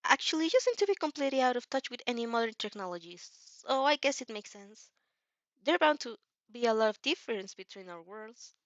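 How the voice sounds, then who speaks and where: A woman's voice: a little high, sing-song, a little fast, no echo. A woman voicing a shy, kindly teenage boy in a story, hesitant and apologetic. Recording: dry, slightly dull lo-fi recording.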